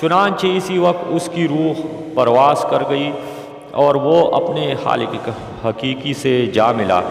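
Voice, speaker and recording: A man narrating a scripted religious moral story in a measured, formal, pious manner. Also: on the high side, gravelly. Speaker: narrator of Islamic moral stories. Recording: echoey with long reverb.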